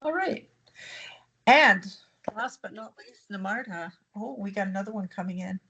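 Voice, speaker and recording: A woman's voice: mid-range voice, expressive, moderate pace, bright, forceful tone, highs cut off, a little hiss or hum. A woman instructor leading a group video call, encouraging each student and moving things along. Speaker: course instructor.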